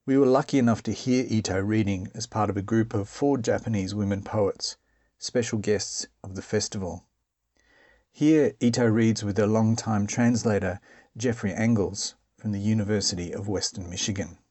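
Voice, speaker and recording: A man with a fairly low voice reading a scripted introduction. His delivery is measured and formal. The sound is close and dry, with no room around it. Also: fairly even intonation, warm tone, no room sound. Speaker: program narrator. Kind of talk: scripted narration.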